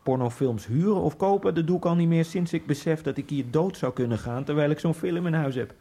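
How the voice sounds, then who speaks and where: An actor playing a lonely Egyptian immigrant man in a radio drama, musing in a wry inner monologue that keeps jumping into giddy high outbursts. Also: fairly quick, mellow. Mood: brooding. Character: lonely male Egyptian immigrant, brooding, self-mocking. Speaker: narrator and main character.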